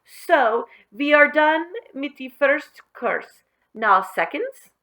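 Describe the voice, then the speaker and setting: A woman's voice: high voice, lively intonation, slow pace, fairly bright tone, voice pushed up, heavily acted. A woman voicing a boastful, manic young boy from a children's storybook in a theatrical, declaiming manner. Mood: grandly pleased. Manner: theatrical, declaiming with repeated words.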